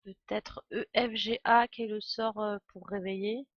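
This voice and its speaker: A woman's voice: medium pitch, fairly even intonation, unhurried, dry recording, lo-fi sound. A woman streamer giving casual live game commentary, thinking aloud in a hesitant way and correcting herself. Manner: hesitant, musing, self-correcting. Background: soft game music.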